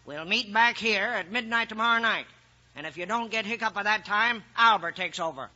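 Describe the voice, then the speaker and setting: A man's voice: sits high, a little fast, bright, forceful tone. An actor playing a sly, bossy western outlaw boss in old radio drama, mocking and commanding his men. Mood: gloating, menacing. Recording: dull vintage radio recording.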